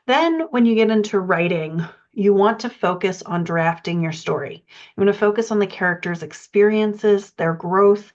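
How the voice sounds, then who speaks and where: A woman speaking as a writing coach, giving a measured, methodical instructional explanation point by point. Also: mid-range voice, lo-fi sound.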